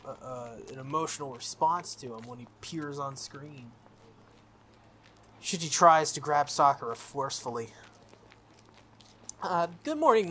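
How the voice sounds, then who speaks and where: A video game player reading a visual novel aloud, with expressive, casually sweary commentary. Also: mid-range voice.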